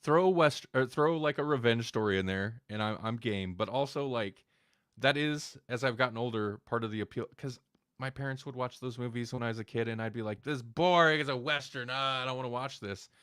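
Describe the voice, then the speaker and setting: A man with a bright, forceful voice hosts a casual podcast. He rambles and breaks into his own sentences with asides. The sound is dry, with very little room on it. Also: mid-range voice, fairly quick, clear, resonant tone, dry recording. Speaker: podcast host.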